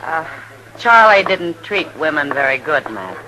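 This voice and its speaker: Lively, worldly frontier saloon woman. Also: low-pitched, measured pace. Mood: frank, concerned. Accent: American. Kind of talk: 1950s radio drama acting. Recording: noisy vintage 1950s radio recording.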